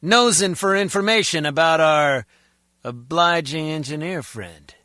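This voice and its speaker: Sharp-voiced, suspicious henchman. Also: on the high side, a little slow, no echo, clean studio sound. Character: gruff, suspicious male henchman in spy game. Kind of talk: scripted game dialogue.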